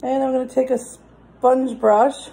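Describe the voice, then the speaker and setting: Slow, mellow middle-aged woman giving craft instructions. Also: high voice, steady tone, low-quality recording. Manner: plain, pausing while demonstrating. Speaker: craft demonstrator for children. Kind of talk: informal step-by-step craft instruction. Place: indoors, dining table in a home.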